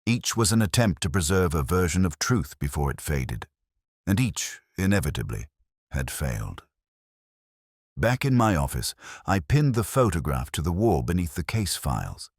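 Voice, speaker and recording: Man acting older English detective, very deep voice, dry close sound, measured literary narration, brooding, audio drama. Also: very animated, dry recording, exaggerated voice. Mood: brooding, reflective.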